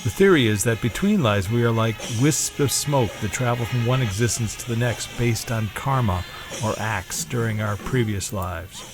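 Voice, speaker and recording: A man's voice: medium pitch, normal speed, hardly any pauses, over music. A man delivering scripted documentary narration in the role of narrator.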